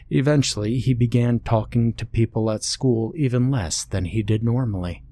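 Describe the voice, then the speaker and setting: A man's voice: on the low side, darkish tone, a little hiss or hum. A man reading a scripted horror story as its narrator.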